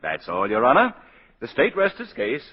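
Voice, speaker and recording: Official, brisk district attorney; husky, dull-sounding vintage radio drama. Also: male voice, medium pitch, wildly swooping pitch. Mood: formal, smug. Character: brisk, formal male prosecutor, dismissive and official. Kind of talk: 1940s radio acting. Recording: dull 1940s radio broadcast.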